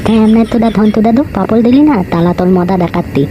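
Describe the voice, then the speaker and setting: Woman voicing a lisping, fast-talking cartoon brat. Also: medium pitch, natural voice. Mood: sulky, vengeful. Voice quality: lisping baby-talk consonants. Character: cheeky, whining small child with babyish speech. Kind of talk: comic cartoon dubbing.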